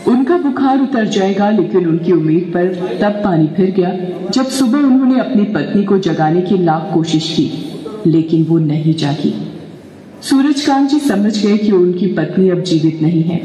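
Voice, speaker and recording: Casting: storyteller with a dark, mellow voice, scripted puppet drama narration, echoey hall. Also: mid-range voice, expressive, normal speed, distant mic. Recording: echoey hall, long reverb.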